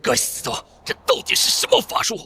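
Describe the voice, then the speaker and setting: A man voicing an arrogant, wrathful old cultivator, pompous and menacing, in a fantasy animated comic. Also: fairly quick, background noise, natural voice. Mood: alarmed. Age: older.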